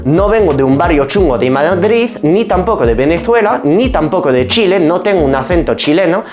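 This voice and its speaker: A man's voice: a little high, animated, a little fast, over music. French-accented man explaining nutrition. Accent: French-accented Spanish. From France. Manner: didactic, asks and answers his own questions. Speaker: pharmacist and nutrition YouTuber. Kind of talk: explanatory nutrition talk to camera.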